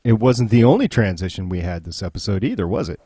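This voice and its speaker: A man's voice: fairly low pitch, very animated, fast talker, warm tone, husky, no echo. A man hosting a podcast, chatting casually and jokily about television. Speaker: podcast host. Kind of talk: casual TV recap chat.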